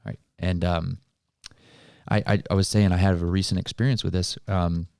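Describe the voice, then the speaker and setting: Deep-voiced man talking motivation on a podcast. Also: flat delivery, normal speed, vocal fry, a little mellow, no echo, clean studio sound. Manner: informal, colloquial, explanatory. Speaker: podcast co-host. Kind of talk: casual motivational podcast talk.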